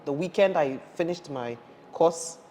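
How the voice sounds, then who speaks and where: A woman in her thirties with a low voice, from Ghana and raised in Nigeria, recalling her path into the energy industry. She talks in a lively, conversational way, restarting her sentences often. Her voice rings with a long reverb over a faint hiss of noise. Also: measured pace. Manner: animated storytelling with frequent restarts and fillers. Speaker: guest, energy industry professional. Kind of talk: conversational interview.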